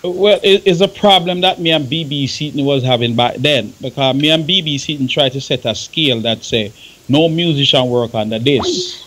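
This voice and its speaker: Casting: older Jamaican man, opinionated talk show panelist, medium pitch. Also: normal speed, a bit bright, low-quality recording.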